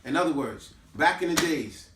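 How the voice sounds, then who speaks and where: A man's voice: on the high side, lively intonation, moderate pace, noisy background. An American man giving an informal, preachy teaching talk, emphatic and repetitive, full of rhetorical questions. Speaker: host giving a talk on history and culture. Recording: echoey room, distant microphone.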